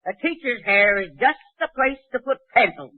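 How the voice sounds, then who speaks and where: An actress playing a droll, gossipy school colleague in a 1940s radio comedy. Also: female voice, on the high side, lively intonation, normal speed, background noise, natural voice. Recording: dry, muffled 1940s radio recording.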